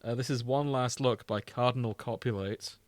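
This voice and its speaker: A man's voice: mid-range voice, steady tone, moderate pace, no echo. A British man co-hosting a podcast in casual comedic chat, irreverent, rambling and sweary. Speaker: podcast co-host.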